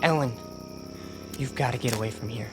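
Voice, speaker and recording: An actor playing a desperate young alien man posing as human, speaking urgently and haltingly in a radio drama. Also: mid-range voice, over music, close to an everyday voice. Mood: urgent warning.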